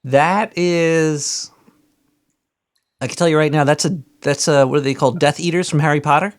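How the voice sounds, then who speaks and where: A man's voice: fairly high pitch, fairly even intonation, normal speed, clean tone. A man hosting a casual call-in show, joking and distracted as he searches for things online. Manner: joking, distracted, trash-talking. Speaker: radio show host.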